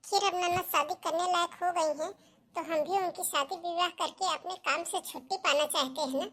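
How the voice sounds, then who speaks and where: A woman's voice: very high-pitched, steady tone, moderate pace, a bit bright. A woman voicing a domineering, scornful sister-in-law, haughty and confrontational, in a cartoon melodrama. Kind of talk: melodramatic Hindi cartoon story dialogue.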